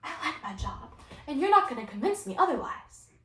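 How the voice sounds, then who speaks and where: Roomy sound, a woman acting an exasperated female teacher, measured, stern, earnest, restrained, stage monologue. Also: sits high, very animated, fairly bright tone, far from the mic, pitched above the performer's own voice. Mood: guarded. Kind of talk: solo dramatic interpretation performance.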